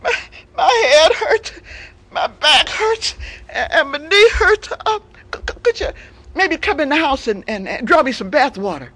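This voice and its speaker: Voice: high-pitched, animated, measured pace, fairly bright tone, pitched above the performer's own voice. Storyteller putting on a crafty old lion, wheedles and whines, swoops around a lot. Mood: fake whining. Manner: sly, then whining about aches. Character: old scheming male lion, fakes sickness.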